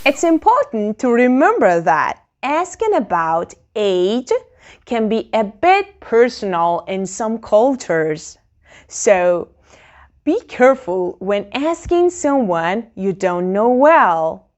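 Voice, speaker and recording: A woman teaching a beginner English lesson, modelling phrases very slowly and clearly for learners to repeat. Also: a little high, big pitch swings.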